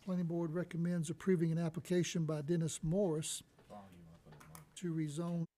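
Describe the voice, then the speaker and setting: A man with a soft, very dark and muted tone and a slightly high pitch runs a public meeting. His carries both formal motions and informal asides. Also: flat delivery, normal speed. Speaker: chair of a county board meeting. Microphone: meeting microphone.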